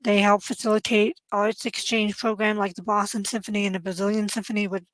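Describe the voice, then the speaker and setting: A woman interviewer asking questions in relaxed chat, reacting eagerly to her guest. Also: medium pitch, a little fast, dry recording. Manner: eager, responsive, lots of short reactions.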